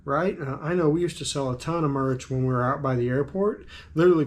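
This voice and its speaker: A middle-aged man with a raspy voice and a slight cold, musing casually to camera as a vlogger, with frequent ums. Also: fairly high pitch. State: has a cold.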